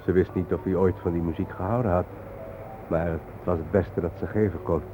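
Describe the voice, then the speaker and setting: An actor playing a solemn, reflective male guide to war memorials in a radio play, explaining things gravely. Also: low voice, steady tone, brisk, close to an everyday voice. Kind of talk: naturalistic radio play acting. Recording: thin, noisy old radio recording.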